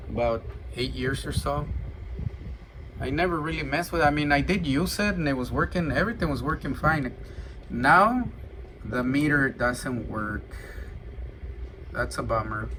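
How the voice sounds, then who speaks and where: Casting: male seller, medium pitch, halting and informal product demo, noticeable noise behind him. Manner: halting, thinking aloud. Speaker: radio seller demonstrating gear. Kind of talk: casual hands-on product demo. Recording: clear background noise, steady hum.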